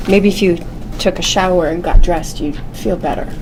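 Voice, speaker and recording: An actress playing a brisk, nagging wife with a pointed, prodding manner in a drama table read. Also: female voice, fairly low pitch, fairly even intonation, natural voice. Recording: noisy room recording.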